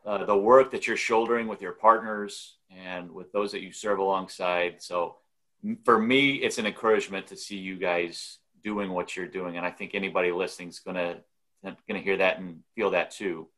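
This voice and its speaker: Low-voiced male podcast host. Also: steady tone. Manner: warm, encouraging, measured. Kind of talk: podcast interview.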